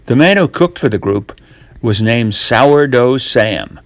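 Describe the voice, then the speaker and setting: An older man narrates a children's tall tale at an unhurried pace. His pitch swings expressively between deliberate pauses, and the sound is close and dry. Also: animated, no room sound. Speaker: storyteller reading a tall tale.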